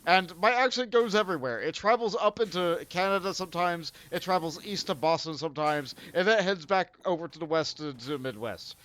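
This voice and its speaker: A man's voice: high-pitched, animated, fairly quick, hardly any pauses, a bit bright, rough recording. A young man hosting a casual gaming stream, rambling and laughing often as he plays. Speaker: streamer host.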